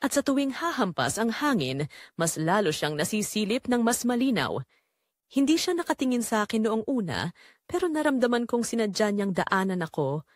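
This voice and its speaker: A woman's voice: on the high side, sing-song, moderate pace, dry recording, studio quality. A woman with a Filipino accent reading a scripted first-person story as the narrator of an audio drama.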